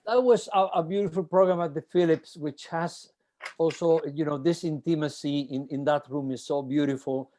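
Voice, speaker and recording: A man's voice: a little high, steady tone, normal speed, dark tone, a little hiss or hum. A man hosting an interview, effusive and rambling, with many restarts. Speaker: ensemble director and host. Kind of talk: enthusiastic interview chat.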